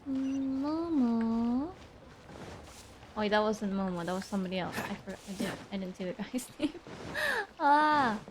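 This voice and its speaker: A woman's voice: on the high side, expressive, measured pace, a little hiss or hum. A woman giving casual live gameplay commentary in short, giggly reactions. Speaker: game streamer.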